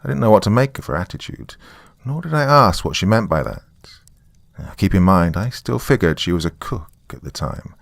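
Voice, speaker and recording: Haunted male writer, performed by a male narrator: low, dry close sound, wry first-person horror confession. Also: big pitch swings, moderate pace, creaky, no room sound, full character voice. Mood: grave, confiding. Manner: musing, wry, conversational asides. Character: weary, frightened male writer recording a confession on tape.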